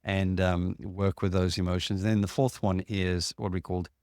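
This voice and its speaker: Deep-voiced man explaining calmly. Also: clear, resonant tone, no echo, studio quality. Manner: measured, explanatory, with frequent fillers. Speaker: podcast host and clinician. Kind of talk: casual conversational explanation.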